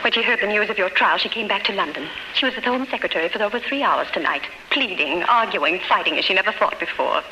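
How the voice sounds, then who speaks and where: A kindly, earnest woman, played by a radio actress, with a medium-pitched voice and tender, theatrical delivery. She sounds like a 1930s radio play, under clear noise from the old recording. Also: animated, fast talker, few pauses, lightly acted. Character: kindly, earnest woman consoling a condemned prisoner. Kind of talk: 1930s radio drama acting.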